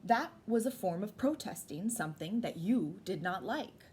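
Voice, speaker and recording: A woman's voice: medium pitch, expressive, moderate pace, darkish tone, natural voice. A woman reading the part of a patient mother explaining things to her children in a picture book. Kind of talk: picture book read-aloud. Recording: noisy background.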